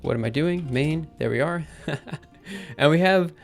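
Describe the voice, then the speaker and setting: A man with a slightly high, slightly raspy voice talks his way through his code on a livestream, chatty and upbeat as he goes. Keyboard clicks and faint music play underneath. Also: a little slow. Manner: thinks aloud while typing, chatty and upbeat. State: slightly raspy after a drink. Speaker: programming livestreamer. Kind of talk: live coding stream, casual talk-through.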